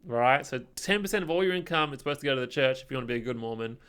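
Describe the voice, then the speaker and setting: A young man co-hosting a podcast, chatting and telling stories informally, haltingly, often checking in with a questioning tag. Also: mid-range voice, expressive, a bit bright, clear, resonant tone. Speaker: podcast co-host. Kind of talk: casual chat, storytelling.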